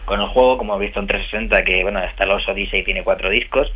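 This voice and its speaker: A man on a podcast panel about video games, talking in quick bursts with restarts over a phone line. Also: low-pitched, slightly rough. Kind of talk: casual podcast chat.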